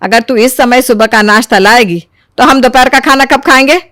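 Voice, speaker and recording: Woman acting nagging, high-voiced mother-in-law. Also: normal speed, fairly bright tone, dry recording, clean studio sound. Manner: scolding, complaining, exaggerating. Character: nagging, domineering middle-aged mother-in-law in a family. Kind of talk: Hindi animated moral story acting.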